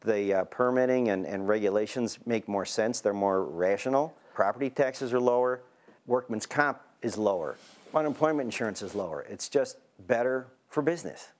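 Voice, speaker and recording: A man's voice: lowish voice, normal speed. A man with a Chicago accent, a small business owner, talking plainly in an interview and listing points in short clauses.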